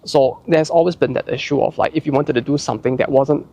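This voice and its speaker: Gravelly young man with a Singaporean accent. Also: mid-range voice, fairly even intonation, fast talker, warm tone, no echo. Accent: Singaporean English. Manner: rambling, heavy use of "like", frequent restarts. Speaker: channel host answering viewer questions. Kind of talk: casual chat.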